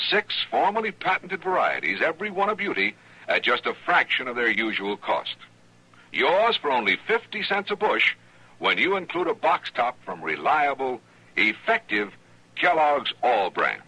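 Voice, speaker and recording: A man with an American accent making an emphatic 1950s radio sales pitch. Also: pushed and bright, slightly rough, poor audio. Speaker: commercial announcer.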